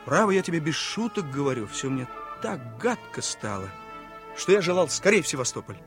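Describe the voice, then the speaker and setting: An actor playing an eager, naive young officer and younger brother in a theatrical, exclamatory radio drama style. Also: male voice, on the high side, very animated, a little fast. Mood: confiding, rueful. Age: young adult. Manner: exclamatory, boyishly chatty, emotive. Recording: radio play with faint music.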